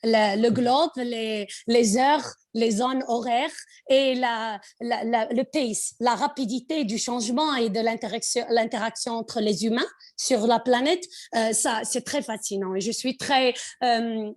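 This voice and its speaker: A woman from Morocco with a Moroccan accent, a podcast guest talking conversationally and haltingly, searching for words and slipping in English. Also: fairly high pitch, darkish tone. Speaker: podcast guest, finance executive. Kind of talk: conversational interview.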